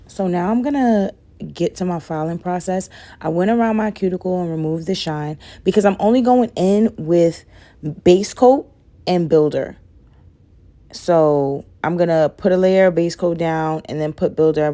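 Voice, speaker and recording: A young woman, a little raspy from a cold, chatting loosely through a nail tutorial and saying "like" and "guys" a lot. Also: lowish voice, normal speed, dark tone. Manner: rambling, says "like" and "guys" a lot. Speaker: nail vlogger. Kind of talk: casual chatty tutorial voice-over.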